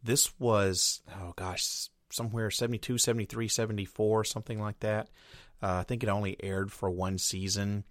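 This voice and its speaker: A man's voice: on the low side, flat delivery, normal speed, no echo, studio quality. A middle-aged man hosting a radio show, casually introducing the episode in a rambling, hesitant way. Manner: rambling, hesitant, lots of uh and restarts.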